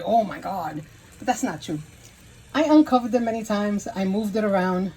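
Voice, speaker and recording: A woman in her fifties speaks with a Puerto Rican accent as she talks through a cooking video. She is chatty and self-deprecating, at a middling pitch, in a home kitchen with a faint hum of background noise. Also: mellow, low-quality recording. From Puerto Rico. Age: 50s. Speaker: cooking channel host. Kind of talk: casual cooking vlog.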